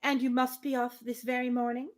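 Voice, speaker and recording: A woman's voice: high-pitched, level tone, brisk, lightly acted. Gracious, teasing young lady, read by a woman. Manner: polite, witty, formal speech.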